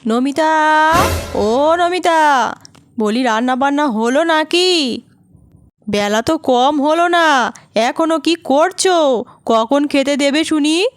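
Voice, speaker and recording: Woman acting a scornful high-pitched nagging mother-in-law. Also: animated, slow pace, long pauses, dry recording. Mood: impatient nagging. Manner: taunting, scolding, sarcastic rhetorical questions. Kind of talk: cartoon voice acting.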